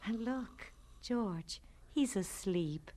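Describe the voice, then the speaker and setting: An actress in an audio drama playing a tenderhearted, gently persuasive rural wife with a motherly manner. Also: medium pitch, animated, drawn-out words. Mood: tender, pitying. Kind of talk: dramatized radio play acting.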